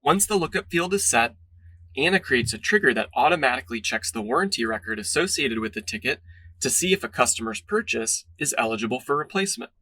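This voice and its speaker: Bright-voiced man narrating a demo. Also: mid-range voice, animated, quick pace. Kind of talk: scripted explainer narration.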